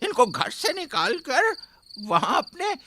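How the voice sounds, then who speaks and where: A woman's voice: high voice, huge pitch swings, slow pace, sharp tone, a little hiss or hum, exaggerated voice. A woman playing an oily, mocking old moneylender father in a radio drama, with sing-song wheedling and chuckles. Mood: scornful, scheming.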